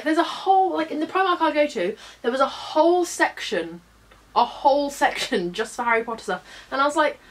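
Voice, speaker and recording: A young woman, slightly hoarse from a cold, chatting casually and upbeat through a shopping haul vlog, full of fillers. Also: a little high. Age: young adult. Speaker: beauty vlogger.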